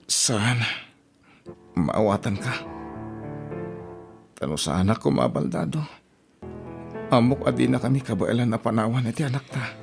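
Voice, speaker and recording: A man's voice: mid-range voice, measured pace, gravelly, pauses often, soft background music. A man voicing a loving husband in a family audio drama, speaking in an emotional, pleading way. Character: gentle husband of a young wife, loving.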